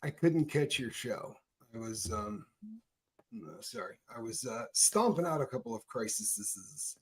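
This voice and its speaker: A man's voice: medium pitch, sing-song, unhurried, vocal fry, rough recording. A man, co-hosting a livestream, joking and teasing in an easygoing way. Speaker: livestream co-host. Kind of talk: casual chat.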